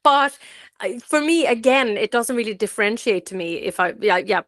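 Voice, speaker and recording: A woman's voice: a little high, expressive, normal speed, fairly bright tone, dry recording, studio quality. A woman from Sweden, a non-native English speaker, chatting in a rambling, self-correcting way as an interview guest. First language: Swedish. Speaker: interview guest, fiction author. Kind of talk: casual conversational interview answers.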